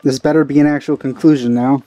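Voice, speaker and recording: Mellow, offhand male let's player. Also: fairly even intonation, fast talker. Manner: offhand, low-key. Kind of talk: casual gameplay commentary. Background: game music.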